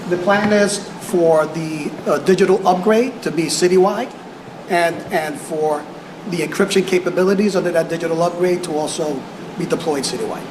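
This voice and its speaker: An echoey room with clear background noise surrounds a fairly high-voiced man. He is an official answering at a press conference, choosing formal words haltingly and often repeating himself.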